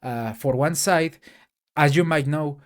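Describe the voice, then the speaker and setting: A man's voice: a little high, measured pace. A man from Mexico, a native Spanish speaker with a Mexican accent, giving a casual, hesitant solo rant to camera with many fillers and restarts. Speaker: tech YouTuber.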